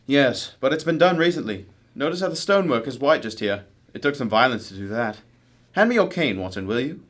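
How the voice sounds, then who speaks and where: A composed gentleman detective from a radio mystery, acted by a man. The voice is mid-pitched, with deliberate, courteous authority and an analytical turn. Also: expressive, slight background noise, natural voice. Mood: inquisitive. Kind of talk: radio drama acting.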